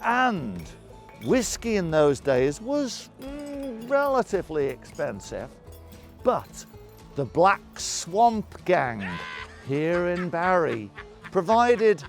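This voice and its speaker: A middle-aged man telling local history as a town crier, in a jovial, hammy, exclamatory manner full of rhetorical questions and dramatic pauses. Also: high-pitched, big pitch swings, soft background music. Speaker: town crier storyteller and guide. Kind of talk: theatrical historical storytelling to camera.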